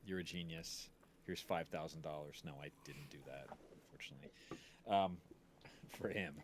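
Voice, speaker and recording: A man's voice: very deep voice, normal speed, a little hiss or hum. A man hosting a stream in casual chat, talking in a rambling, jokey way. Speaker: stream host.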